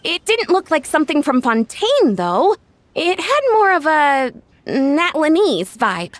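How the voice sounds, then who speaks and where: High-pitched perky young inventor. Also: female voice, big pitch swings, unhurried, no room sound. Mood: earnest, explaining. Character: bubbly young female inventor, proud, enterprising, polite. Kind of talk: video game voice acting.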